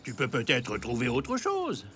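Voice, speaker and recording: A man voicing a kindly, reassuring old grandfather zebra in a children's cartoon, gentle and encouraging. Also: medium pitch, wildly swooping pitch, measured pace, slight background noise. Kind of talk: French preschool cartoon dialogue.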